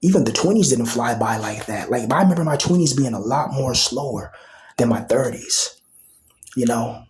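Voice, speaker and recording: A man's voice: mid-range voice, lively intonation, moderate pace, a little hiss or hum. Rambling man in his thirties. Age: 30s. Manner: loose slang-filled talk with many fillers and repetitions. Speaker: vlogger. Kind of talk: casual rambling monologue.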